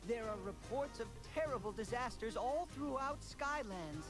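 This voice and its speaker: A man's voice: extremely high pitch, expressive, normal speed, soft background music. A man voicing an earnest, bookish mole creature in a video game, explaining things anxiously. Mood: earnest, worried.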